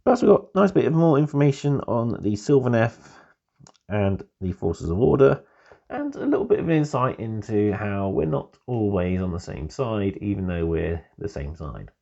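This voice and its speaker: A man with a cold, hoarse and husky, giving a relaxed, easygoing review of a hobby magazine as the channel's host. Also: fairly low pitch, normal speed. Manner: relaxed, easygoing, keeps calling things pretty cool. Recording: dull lo-fi recording.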